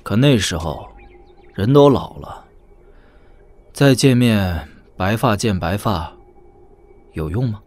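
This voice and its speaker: An actor playing a cocky, sardonic young prince in a Chinese wuxia audio drama, with a glib, needling way of talking. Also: male voice, on the low side, animated, slow, long pauses, darkish tone. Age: young adult.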